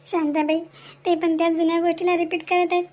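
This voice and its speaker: A woman's voice: very high-pitched, flat delivery, fast talker, darkish tone. A woman voicing an anxious, aggrieved village mother in an amateur cartoon melodrama, pleading plaintively in a Varhadi Marathi accent.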